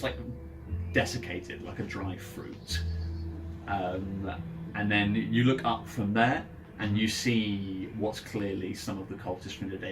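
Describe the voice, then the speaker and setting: A man with a fairly low voice acts as game master at a tabletop session. He improvises the scene casually, pausing to think as he goes, with ambient music clearly playing behind him. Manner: halting, thinking aloud.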